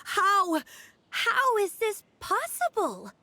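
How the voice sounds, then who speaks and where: An actress playing an earnest young woman in a video game, easily flustered, hesitant and expressive. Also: extremely high pitch, very slow, a little hiss or hum, full character voice. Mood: amazed. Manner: hesitant, expressive, stammers when startled.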